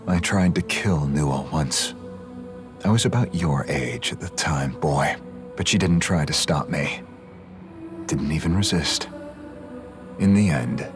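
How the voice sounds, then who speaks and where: A very low-voiced, brooding middle-aged man in a video game. He speaks solemnly and deliberately, cold and resolute, with clearly audible music behind him. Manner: solemn, measured, dramatic. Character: brooding, severe older male ideologue, cold and resolute.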